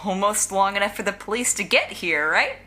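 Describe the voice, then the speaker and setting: A woman's voice: mid-range voice, animated, fairly quick, pushed and bright, a little hiss or hum. A woman playing a wry, defiant young genius supervillain in an audio drama, with dry retorts. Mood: challenging.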